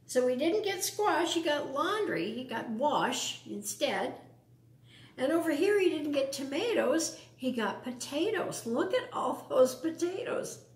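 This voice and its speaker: Woman storytime reader, high-pitched, animated intonation, explaining to young children, roomy echoing sound. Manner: addresses young children, explaining and asking questions. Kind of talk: children's picture-book read-aloud with casual asides.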